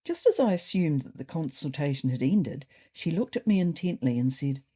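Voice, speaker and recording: A woman's voice: low voice, animated, normal speed, dark tone, husky. A woman voicing a wry, self-important male cat telling his diary in a dry, mock-serious way for a comedy story.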